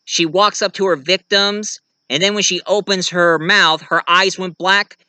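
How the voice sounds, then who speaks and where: A man's voice: high-pitched, fairly even intonation, measured pace, sharp tone, clean tone, no room sound. A man talking casually as a video creator, telling about his own writing in a rambling, enthusiastic way. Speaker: video creator and amateur writer. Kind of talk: casual vlog talk.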